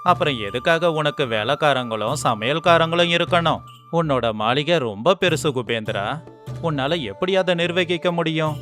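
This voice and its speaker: A man playing a shrewd scholar on the throne in an animated moral story, commanding and measured, with mock sympathy. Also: fairly high pitch, faint music behind. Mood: stern accusing. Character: shrewd, sarcastic scholar sitting as king, commanding.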